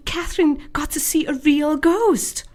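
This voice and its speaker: A woman acting in a radio drama as an excitable, flustered young hostess who stammers and repeats herself. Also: high-pitched, measured pace. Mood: flustered, placating.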